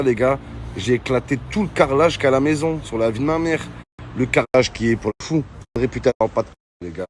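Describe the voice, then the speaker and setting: A man doing a casual comic rant, mocking and animated, now and then breaking into shouts. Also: mid-range voice, a little hiss or hum. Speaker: comedian.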